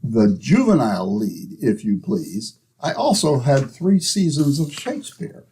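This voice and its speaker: A man's voice: medium pitch, big pitch swings, measured pace, dark tone, slight background noise. A man playing a pompous neighbor who fancies himself a Shakespearean actor, declaiming theatrically in old-time radio comedy. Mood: indignant, pompous.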